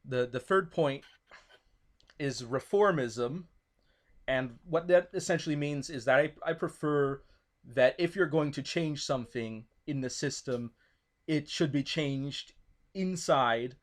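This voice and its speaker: Man, non-native english speaker, thoughtful, stops and restarts a lot, explaining ideas. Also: mid-range voice. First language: not English. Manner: thoughtful, many restarts and fillers. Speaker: guest explaining his political views. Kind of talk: casual discussion.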